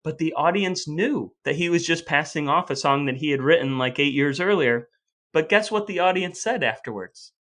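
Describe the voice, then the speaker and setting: A man with an American accent co-hosting a podcast, telling stories in an animated, conversational way. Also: fairly high pitch, moderate pace. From United States. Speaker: podcast co-host. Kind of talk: conversational storytelling and discussion.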